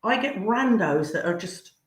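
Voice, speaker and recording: A woman's voice: medium pitch, lively intonation, normal speed. A woman chatting casually as a podcast guest, blunt, irreverent and jokey. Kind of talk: casual chat. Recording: roomy, echoey sound.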